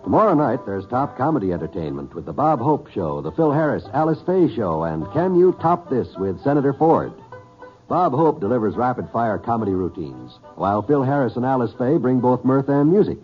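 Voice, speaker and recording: A man's voice: medium pitch, big pitch swings, brisk. A man announcing in dramatic 1950s network radio style. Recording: muffled old radio broadcast over music.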